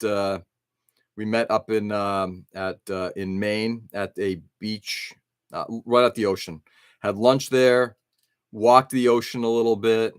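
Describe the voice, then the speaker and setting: A man's voice: lowish voice, clear, resonant tone, no echo, clean studio sound. A middle-aged man hosting an early-morning live stream, rambling casually and answering viewers' chat comments.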